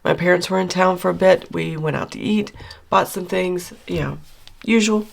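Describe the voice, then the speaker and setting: A woman with a low, gravelly voice that is still hoarse from losing it. She chats casually and rambles through her budget numbers, filling pauses with ums and uhs. She sounds middle-aged and relaxed. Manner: chatty, rambling with many fillers. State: hoarse, recovering from lost voice. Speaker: budgeting channel host.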